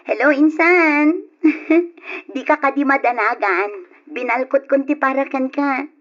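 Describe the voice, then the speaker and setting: High-voiced, affectionate Ilocano daughter. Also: female voice, slow pace. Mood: cheerful anticipation. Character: affectionate young woman, daughter coming home, later grieving. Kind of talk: Ilocano radio drama acting. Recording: dull lo-fi radio recording, music behind.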